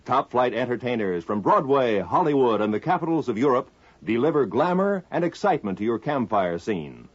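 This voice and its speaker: A man's voice: mid-range voice, sing-song, brisk. A man reading a scripted 1950s radio network promo in a polished, salesmanlike way. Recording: dull lo-fi 1950s radio recording.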